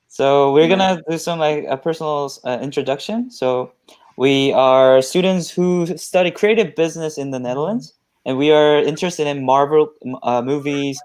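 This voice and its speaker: A young man in his twenties from South Korea with a Korean accent, hosting a live online event over a video call and often pausing to search for words. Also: mid-range voice, a little mellow, smooth. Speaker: event host.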